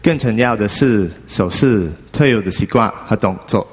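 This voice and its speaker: A man with a husky voice reciting a memorized passage on stage. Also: lowish voice, moderate pace, faint music behind. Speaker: performance artist on stage.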